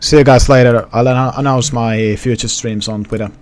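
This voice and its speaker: Young Finnish streamer, light accent, loose rambling chat with viewers, mid-pitched. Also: male voice, steady tone, brisk. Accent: Finnish, partly suppressed. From Finland. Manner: rambling, restarts, talks to chat. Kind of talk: casual live-stream chat.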